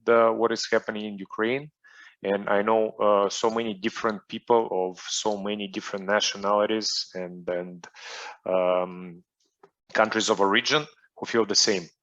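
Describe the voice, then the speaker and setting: A man's voice: lowish voice, fairly bright tone, dull audio. A middle-aged man with a Russian accent, a soccer coach speaking in an online interview, hesitant with many fillers and restarts.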